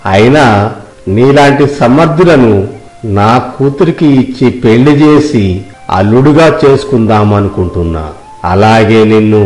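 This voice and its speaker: A man playing a stately older king and father in a storybook tale, speaking formally and solemnly with deep gratitude. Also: a little mellow, roomy sound, lightly acted, poor audio. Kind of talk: dramatic children's storybook acting.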